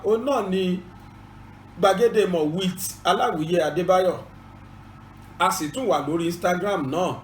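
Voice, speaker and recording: High-voiced Yoruba man, echoey room. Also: a little hiss or hum. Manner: earnest, repetitive, formal instructions. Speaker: channel host and presenter. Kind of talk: informative bilingual presentation.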